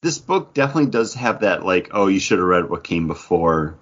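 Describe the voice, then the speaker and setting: A man with a lowish, husky voice chats as co-host of a comics podcast. He is wry and talkative and fills his speech with "like". The sound is dull and lo-fi. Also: animated, a little fast, a bit bright. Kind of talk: casual chat.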